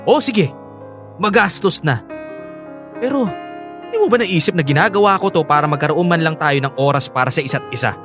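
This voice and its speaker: A man's voice: fairly high pitch, expressive, brisk, pauses often, lightly acted. A man acting an earnest young husband who feels neglected, with a Filipino accent, in a radio drama. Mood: frustrated, pleading. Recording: dry studio drama over background music.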